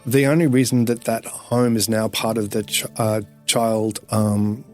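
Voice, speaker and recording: A man's voice: on the low side, little pitch movement, measured pace, a little mellow, soft background music. A man with an Australian accent, giving an earnest, emphatic interview with frequent restarts. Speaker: interview guest. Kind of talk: earnest personal testimony.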